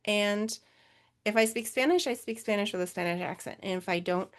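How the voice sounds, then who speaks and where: A woman's voice: medium pitch, brisk. A woman with a Minnesotan accent presenting a webinar over a video call, answering questions in a chatty way with many fillers. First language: English.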